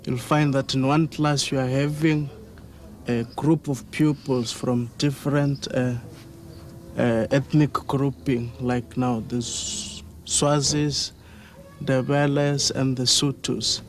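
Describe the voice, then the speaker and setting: A man teacher from South Africa speaks very slowly and hesitantly in an interview. Faint background noise runs behind him. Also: medium pitch, level tone. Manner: slow, hesitant.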